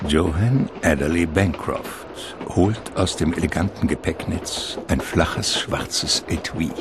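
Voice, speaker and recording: Very deep, slow male narrator. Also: noisy background. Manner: measured, atmospheric, literary. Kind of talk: scripted radio drama narration.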